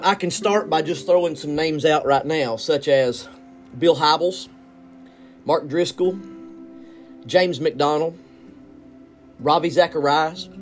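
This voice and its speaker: Earnest indignant man, higher voice. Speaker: podcast host. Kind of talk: solo podcast commentary.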